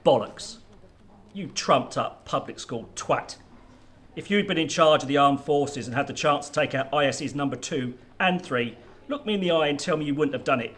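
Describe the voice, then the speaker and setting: A man's voice: a little high, normal speed, a bit bright, lightly acted. Man playing blunt, combative army general. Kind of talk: radio drama acting.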